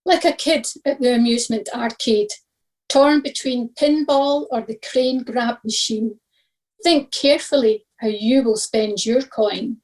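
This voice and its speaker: Higher-voiced woman reading a devotional. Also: unhurried, a little mellow, low-quality recording. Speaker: scripture meditation reader.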